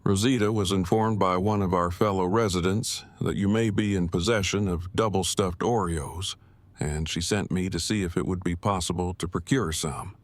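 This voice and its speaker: Audio drama role: awkward, verbose male survivor played by a man, low register, stiff formal delivery. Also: fairly even intonation, normal speed, dry recording, close to an everyday voice. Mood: stiff, polite. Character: nervous, wordy, formal-spoken adult male apocalypse survivor.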